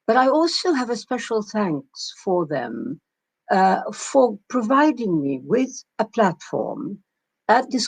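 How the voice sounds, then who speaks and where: Older woman from palestine giving a keynote, slow and thoughtful, husky gravelly voice. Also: mid-range voice. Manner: measured, reflective, with many pauses and fillers. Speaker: keynote speaker. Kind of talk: conference keynote talk.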